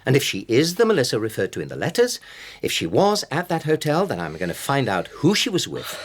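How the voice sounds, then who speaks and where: An actor playing a harassed middle-aged English husband in a naturalistic radio drama, insistent, defensive and exasperated. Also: male voice, medium pitch, huge pitch swings, fairly quick, breathy edge. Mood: determined.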